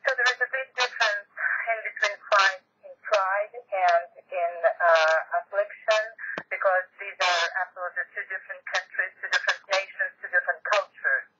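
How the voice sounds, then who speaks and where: Bright, raspy interpreter on a call. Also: medium pitch, steady tone, moderate pace. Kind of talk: consecutive interpreting on a press call. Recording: muffled conference phone call.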